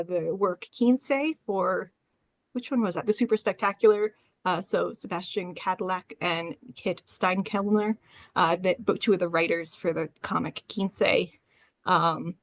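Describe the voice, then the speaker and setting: A woman's voice: on the low side, no room sound. Woman presenting informally, close dry sound. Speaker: panelist, librarian.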